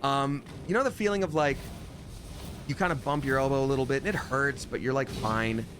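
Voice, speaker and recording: A man's voice: medium pitch, brisk, slight background noise. Chatty man streaming a game. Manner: chatty and rambling, with sudden excited jumps. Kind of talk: live gameplay commentary. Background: game music.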